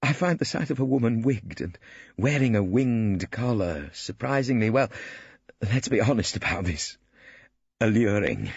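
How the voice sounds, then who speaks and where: A man's voice: lowish voice, expressive, moderate pace, vocal fry, a little mellow, breathy edge, no room sound, highs cut off, pitched above the performer's own voice, full character voice. A man voicing an awkward, self-important male barrister, fussy and bumbling. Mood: earnest. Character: awkward, pompous middle-aged male barrister.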